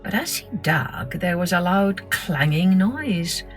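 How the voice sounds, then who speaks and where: Female narrator for kids' story podcast, lowish register, measured expressive read, music underneath. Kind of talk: scripted children's story narration.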